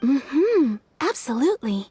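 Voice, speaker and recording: High-voiced, polite shopkeeper. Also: female voice, sing-song, slow pace, dark tone, no echo, heavily acted. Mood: pleased. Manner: polite, deferential, salesperson-like. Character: courteous, businesslike woman kimono shopkeeper. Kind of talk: video game voice acting. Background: game background music.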